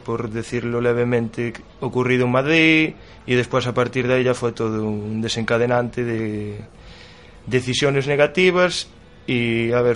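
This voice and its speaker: A man from Galicia, a supporters' club officer explaining earnestly as a radio interview guest. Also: medium pitch. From Galicia, Spain.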